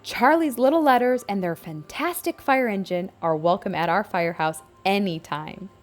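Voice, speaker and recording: A woman's voice: medium pitch, normal speed, faint music behind, natural voice. A woman voicing a bossy, authoritative fire chief letter in a children's storybook, speaking in a commanding way. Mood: proud. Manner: commanding, exclaiming. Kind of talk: children's picture book read-aloud.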